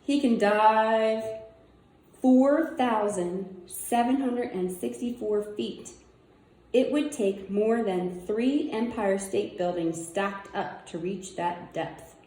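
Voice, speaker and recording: A woman's voice: medium pitch, slow pace, mellow. A woman reading a children's nonfiction book aloud, using simple child-directed phrasing and wondering aloud in casual asides. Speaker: read-aloud presenter. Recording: roomy, echoing indoor sound.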